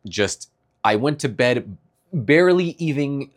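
A man's voice: mid-range voice, expressive, slow pace. A young man with a stuffy nose giving a casual, rambling morning check-in, laughing often between thoughts. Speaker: streamer.

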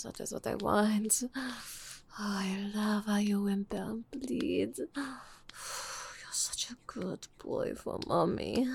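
Slow, husky whispering woman. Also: mid-range voice, a little hiss or hum. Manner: breathy teasing whisper with soft laughs; sprinkles in French endearments. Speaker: audio roleplay performer. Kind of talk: intimate whispered roleplay.

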